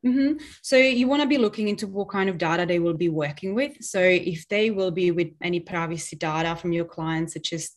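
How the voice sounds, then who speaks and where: Woman, strong Czech accent, lowish voice, earnest business guest, video call mic. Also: brisk, hardly any pauses. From Czech Republic. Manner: earnest, explains with hand gestures. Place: home office, virtual background. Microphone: webcam on video call.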